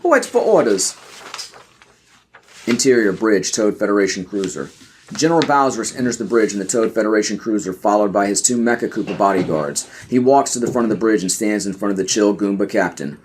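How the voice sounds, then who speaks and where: A man's voice: lowish voice, quick pace, a little hiss or hum. Man narrating a scripted audio play. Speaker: podcast narrator. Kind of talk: scripted narration, reading stage directions.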